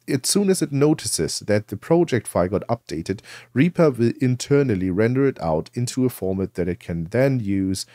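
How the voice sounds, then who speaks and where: Low-voiced man explaining software, dry sound. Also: no room sound. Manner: conversational, explanatory, asks and answers own questions. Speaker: tutorial presenter. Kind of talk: software tutorial narration.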